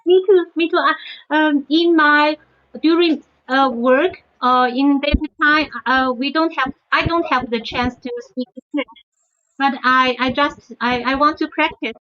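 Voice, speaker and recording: A woman's voice: high voice, fairly even intonation, a little slow. A young woman from China, chatting as a guest on a video call, hesitant with many fillers. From China, Zhejiang. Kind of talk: casual video chat. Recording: dull lo-fi call audio.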